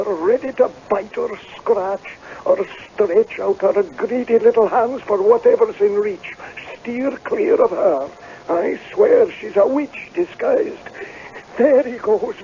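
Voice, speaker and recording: On a muffled old radio recording, a laird's Scottish rent collector. His voice is high and soft-edged, yet he is stern and grave, speaking in gloomy warnings. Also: animated, unhurried, gravelly, full character voice. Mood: urgent. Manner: grave, foreboding. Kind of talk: radio drama acting. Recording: muffled old radio broadcast, faint noise.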